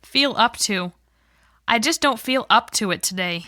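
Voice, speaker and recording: A woman reciting phrases for a language lesson with careful, clear enunciation. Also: on the high side, lively intonation, a little slow, sharp tone. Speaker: phrase reader.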